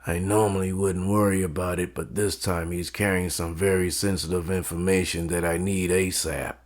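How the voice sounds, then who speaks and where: A man playing a calculating mob boss in an audio story, formal, measured and authoritative, with music behind him. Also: deep voice, level tone, natural voice. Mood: controlled authority. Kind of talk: dramatic audio story acting. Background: background music.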